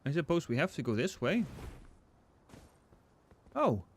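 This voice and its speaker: A man's voice: fairly high pitch, very animated, unhurried, breathy edge. A man, chatting casually and joking with his chat while streaming a game. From Norway.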